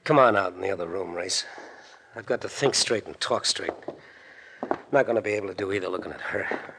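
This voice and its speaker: Hard-edged American gangster boss. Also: male voice, sits low, a little fast, vocal fry, a bit bright, a little hiss or hum. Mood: shaken, terse. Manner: clipped, commanding, slangy. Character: tough, menacing gangster boss, bossy, bitter jilted husband. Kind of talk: 1940s radio crime drama acting.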